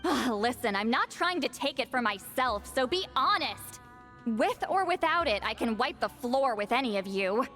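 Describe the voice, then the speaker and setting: A woman's voice: fairly high pitch, animated, normal speed, a bit bright. A woman playing a blunt, irritable and condescending shaman granny who sounds youthful, in a video game. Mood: challenging, haughty. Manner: brusque, condescending. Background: background music.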